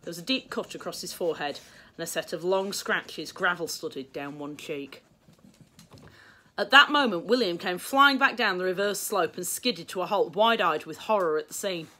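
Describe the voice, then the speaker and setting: A middle-aged English woman reading a children's story aloud in expressive narration. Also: fairly low pitch, normal speed. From England. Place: small book-lined room indoors.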